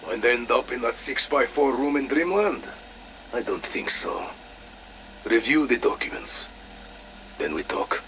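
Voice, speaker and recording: An actor playing a measured, dryly sardonic Soviet operative in a video game audio log, speaking deliberately and with pauses. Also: male voice, medium pitch, expressive, creaky, fairly bright tone, a little hiss or hum. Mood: firm, pointed. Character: measured, wry male Soviet operative, quietly principled.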